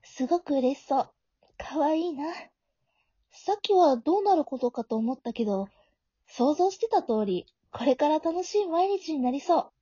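A woman playing a gentle, naive young woman, a doting childhood friend, in an amateur romantic audio drama, with a soft, sweet manner. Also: high voice, lively intonation, normal speed, dry recording. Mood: fond narration. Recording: dull, lo-fi home recording.

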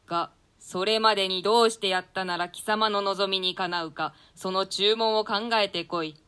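A woman's voice: medium pitch, fairly even intonation, normal speed, fairly bright tone, clean tone, natural voice. A woman playing a ruthless, scheming usurper king in a classical stage play reading, commanding and scornful. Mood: imperious, expectant. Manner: commanding, coarse, theatrical.

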